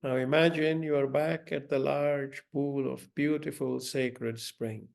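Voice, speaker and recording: A man leading a guided meditation in a gentle, deliberate manner, giving instructions with long pauses. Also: mid-range voice, fairly even intonation, vocal fry.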